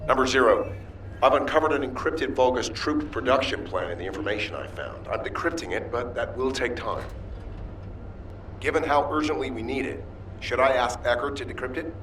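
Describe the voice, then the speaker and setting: An actor playing a loyal, earnest military adjutant in a video game, speaking formally and respectfully. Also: male voice, mid-range voice, moderate pace, fairly bright tone, far from the mic, a little hiss or hum, close to an everyday voice. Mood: urgent reporting. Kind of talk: scripted game dialogue.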